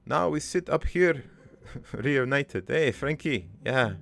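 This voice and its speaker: A man playing a crude but wistful old dead father in a cartoon, rambling through his life story in a halting, gravelly, improvised monologue. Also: medium pitch, expressive, fairly bright tone, exaggerated voice. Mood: sentimental, then wry, resigned. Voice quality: gravelly, creaky.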